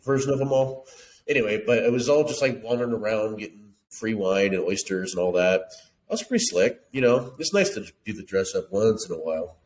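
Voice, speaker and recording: A man's voice: lowish voice, animated, a little fast, darkish tone. A laid-back, congested man in his thirties tossing out crude jokes as a podcast co-host. He talks through a headset on a video call, with a faint hiss behind him. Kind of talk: casual chat.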